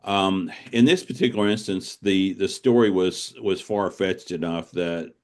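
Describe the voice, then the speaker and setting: Deep-voiced middle-aged man, discursive. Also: measured pace, a little mellow. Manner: discursive, circling back as he explains. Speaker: author, interview guest. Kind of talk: casual reflective interview conversation.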